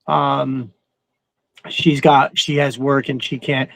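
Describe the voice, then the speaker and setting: A man's voice: mid-range voice, little pitch movement, takes it slowly, vocal fry, a bit bright, dry recording, rough recording. A man around his thirties or forties co-hosting a fan show, talking casually in a rambling, enthusiastic way. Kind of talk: casual chat.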